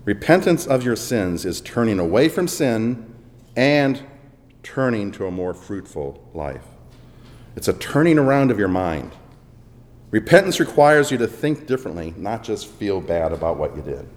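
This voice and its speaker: A man preaching a sermon with dramatic swings between booming emphasis and hushed asides, in a church. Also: very animated, normal speed. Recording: long echo of a large hall.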